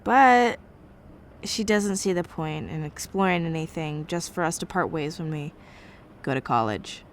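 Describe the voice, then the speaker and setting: A woman's voice: deep voice, measured pace, slight background noise. An actress playing a lovesick, hurt teenage girl confiding in her grandmother in an audio drama. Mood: wistful, frustrated. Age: young adult.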